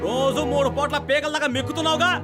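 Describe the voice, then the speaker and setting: A man's voice: very high-pitched, fairly even intonation, racing, over music. A male actor playing a bullying, greedy exploiter in a film drama, harsh, scolding and threatening. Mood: angry, threatening. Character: bullying, greedy exploiter shaking down a young pickpocket.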